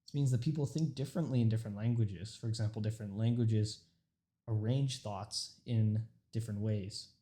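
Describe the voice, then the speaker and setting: A young man whose first language is Urdu gives a prepared informative speech, earnest and mostly steady, with a few stumbles and restarts as he reads. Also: on the low side, normal speed. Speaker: student speaker.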